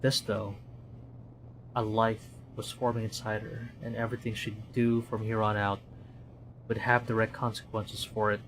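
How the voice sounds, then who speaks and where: A man's voice: lowish voice, little pitch movement, normal speed, fairly bright tone, a little hiss or hum, rough recording. A man from the Philippines, streaming as he narrates a visual novel dramatically and adds casual commentary.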